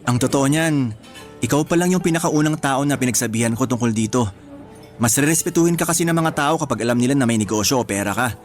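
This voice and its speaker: An actor playing a gentle, sincere young man in love in a Filipino radio romance, earnest and lightly teasing. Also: mid-range voice, fast, lightly acted. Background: music.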